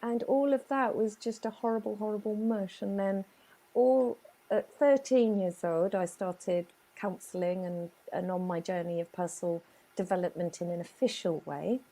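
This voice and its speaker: A woman's voice: mid-range voice, dark tone, low-quality recording. A woman from Chile with a Chilean Spanish accent, telling her life story earnestly in an interview. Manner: earnest, emphatic storytelling with dramatic pauses. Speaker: guest business owner.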